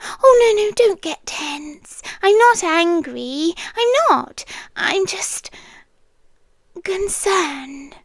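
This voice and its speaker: A high, intimate British female voice, a woman acting as an obsessive landlady girlfriend in ASMR roleplay. She speaks extremely slowly, sweet yet controlling, often sinking into a breathy whisper. The sound is dry and close. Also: animated, no echo, exaggerated voice. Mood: insistent, hushed concern. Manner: slow, deliberate, coaxing and commanding.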